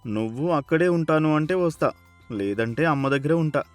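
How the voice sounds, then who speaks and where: A man's voice: fairly high pitch, lively intonation, moderate pace, no room sound, faint music behind, voice pushed up, natural voice. A man voicing a simple-minded, clingy young village husband with a naive, whiny manner in a story. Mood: hesitant.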